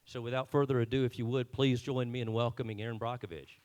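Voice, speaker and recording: A man's voice: mid-range voice, steady tone, fast, darkish tone. A man introducing a guest at a town hall, earnest and a bit unpolished on stage. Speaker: event organizer introducing the speaker. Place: meeting hall.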